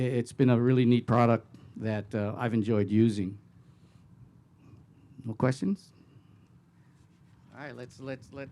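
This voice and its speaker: A man's voice: medium pitch, darkish tone, clear, resonant tone, no echo. A man moderating a live panel at a coaching summit, often stumbling and repeating words as he introduces guests. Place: conference room.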